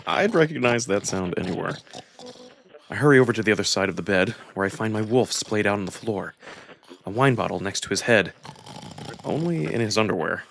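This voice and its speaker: A man giving an expressive, acted first-person narration of a visual novel. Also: fairly low pitch, brisk, creaky, slight background noise. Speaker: narrator and voice actor.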